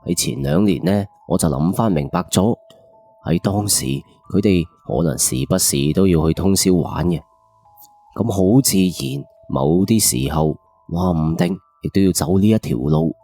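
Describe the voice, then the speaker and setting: A man's voice: low voice, animated, normal speed, vocal fry, mellow, soft background music. A man with a Cantonese accent narrating a first-person ghost story in a colloquial, suspenseful late-night radio style.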